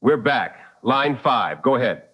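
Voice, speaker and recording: A man playing a calm, wry late-night radio host with measured dry humor in a radio drama, slightly muffled. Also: medium pitch, husky.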